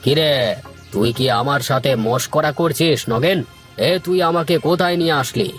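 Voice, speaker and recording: A man's voice: a little high, normal speed, soft background music, natural voice. A man voicing a stern, bossy village landlord in a cartoon, with a pompous, commanding manner. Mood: indignant. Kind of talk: Bengali moral-story cartoon acting.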